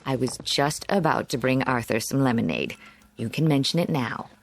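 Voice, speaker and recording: An actress playing a kindly, trusting suburban housewife in a radio drama, chatty and gracious in manner. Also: female voice, extremely low pitch, normal speed, gravelly, a little hiss or hum. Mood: reassuring.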